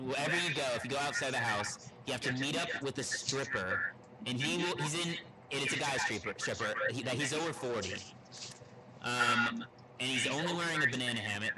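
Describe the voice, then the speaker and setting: Rambling man on a noisy podcast recording. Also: mid-range voice. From Texas, United States. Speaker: podcast co-host. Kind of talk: casual joking chat. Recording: loud background noise on live stream.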